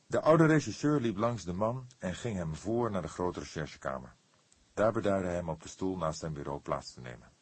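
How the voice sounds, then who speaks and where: Male audiobook narrator for crime fiction: deep register, raspy edge, expressive scripted reading, very dry recording. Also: moderate pace, dry recording.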